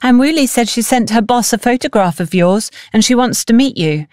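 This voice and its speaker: A woman plays an eager village teenage girl in an audiobook. She is chatty and confiding, full of ambition, and her pitch lifts above a narrator's level. The sound is dry and close. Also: expressive, normal speed, darkish tone, dry recording, close to an everyday voice. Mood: eager, hopeful. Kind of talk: audiobook character dialogue.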